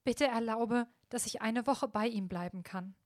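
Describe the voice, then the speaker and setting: A woman's voice: fairly high pitch, measured pace, no echo, studio quality, lightly acted. A woman voicing a kind young woman in a storybook reading, earnest and heartfelt. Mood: pleading.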